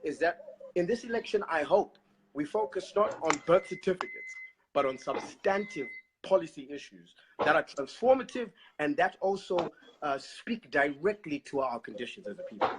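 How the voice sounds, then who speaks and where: A Zimbabwean man in casual political discussion, measured and thoughtful, pausing with fillers. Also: on the high side, very animated, noisy background.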